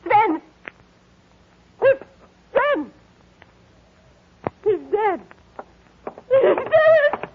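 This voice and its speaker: An actress playing a menacing, sly old woman criminal with a commanding, shrill delivery in 1940s radio melodrama style. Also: big pitch swings, drawn-out words, pauses often, exaggerated voice. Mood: alarmed. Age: older. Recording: noisy, dull old radio recording.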